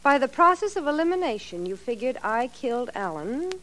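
A woman's voice: sits high, sing-song, brisk, fairly bright tone. An actress playing a sardonic young woman killer in a 1940s radio drama, drawling and sarcastic. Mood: mocking. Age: young adult. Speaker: murder suspect.

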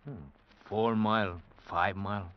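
An actor playing a weary Mexican prisoner, halting and subdued, with a Mexican Spanish accent, in an old radio drama. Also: male voice, lowish voice, steady tone, lightly acted. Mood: wary warning. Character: weary, resigned Mexican chain-gang prisoner. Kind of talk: 1940s-50s radio drama acting. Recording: muffled old radio recording.

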